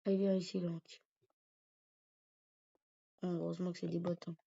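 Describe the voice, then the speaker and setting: A man's voice: sits high, flat delivery, normal speed, vocal fry, mellow, breathy edge. A man with a cold and a sore throat, rambling hesitantly through casual commentary over his gameplay. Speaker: gaming YouTuber. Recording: dull lo-fi recording.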